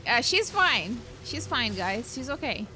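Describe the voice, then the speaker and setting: High-pitched Brazilian woman streaming a game. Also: very animated, a little slow, sharp tone, slight background noise, poor audio. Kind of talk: casual let's-play commentary. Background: video game music.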